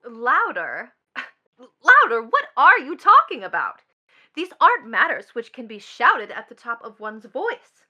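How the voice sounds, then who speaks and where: Woman playing scornful, impatient young woman, stage melodrama: high, wildly swooping delivery, imperious and mocking. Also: wildly swooping pitch, measured pace, bright, forceful tone, dull audio, full character voice. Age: young adult. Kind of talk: stage play acting.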